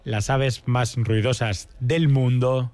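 A man's voice: mid-range voice, steady tone, slow, a little mellow, no room sound. A man with a Spanish accent from Spain hosting a casual live radio chat. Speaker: radio show host.

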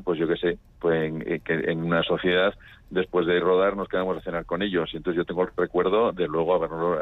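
Low-voiced northern Spanish man, echoey phone line. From Pamplona, Spain. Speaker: documentary filmmaker being interviewed. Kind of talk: casual conversational interview. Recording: echoey, phone-like radio link.

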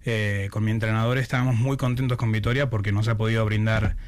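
A man's voice: on the low side, little pitch movement, quick pace. A young man from Argentina speaking casually as an athlete being interviewed. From Buenos Aires, Argentina. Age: young adult, about 19. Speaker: athlete guest, shot putter.

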